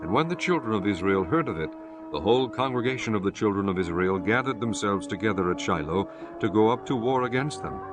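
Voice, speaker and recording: A man narrating scripture for an audiobook in a measured, solemn way. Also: on the low side, animated, music in the background.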